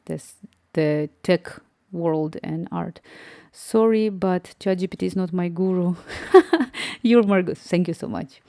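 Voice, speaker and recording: Low, mellow woman with a Slavic accent. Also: slow pace, no echo. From Ukraine. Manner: chatty, laughs often, restarts words while reading viewer comments aloud. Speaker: live streamer. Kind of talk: casual live-stream chat.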